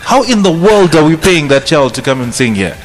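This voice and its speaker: A man, co-hosting a radio show, asking probing questions and jumping in with lively remarks. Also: on the high side, brisk, faint music behind. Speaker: radio host. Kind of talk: radio talk show interviewing. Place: radio studio.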